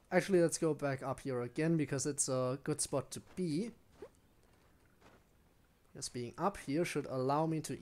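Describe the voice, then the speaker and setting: A man's voice: a little high, darkish tone. A man giving casual, rambling live game commentary, musing aloud to his viewers. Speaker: game streamer.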